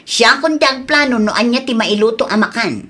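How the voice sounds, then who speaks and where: A woman's voice: fairly high pitch, expressive, a little fast, fairly bright tone, slightly rough. A woman with an Ilocano accent acting an insistent, spendthrift young mother in an audio drama.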